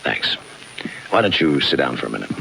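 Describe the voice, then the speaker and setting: An actor playing a cynical, world-weary homicide detective in a 1950s radio crime drama, clipped, deadpan and sardonic. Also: male voice, a bass voice, brisk, creaky, background noise, exaggerated voice. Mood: dry, probing. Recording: 1951 radio broadcast with music behind.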